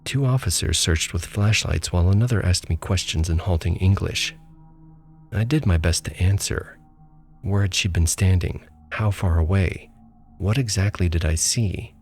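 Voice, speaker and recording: Deep-voiced man narrating a ghost story. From small town abroad. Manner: calm, measured storytelling. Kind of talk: scripted first-person story narration. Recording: faint music bed behind.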